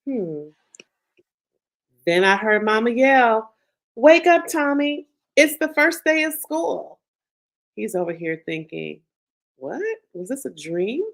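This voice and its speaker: A middle-aged woman who writes children's books, reading her story aloud with lively pitch swings and then chatting casually, leaning on "you know.". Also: slow, vocal fry, long pauses. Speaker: children's book author. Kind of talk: reading her picture book aloud, then interview chat. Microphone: video call.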